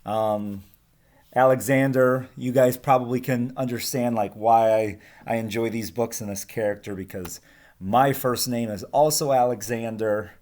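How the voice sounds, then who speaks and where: A man's voice: mid-range voice, a little slow. A man hosting a children's picture-book read-aloud, speaking casually and expressively. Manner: expressive, animated reading. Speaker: read-aloud host, teacher-like reader.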